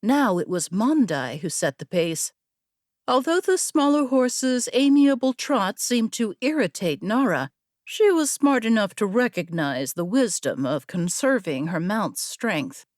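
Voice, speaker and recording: A woman narrating a scripted fantasy story in a measured, deliberate manner. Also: medium pitch, sing-song, a little mellow, no room sound, clean studio sound. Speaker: audiobook narrator.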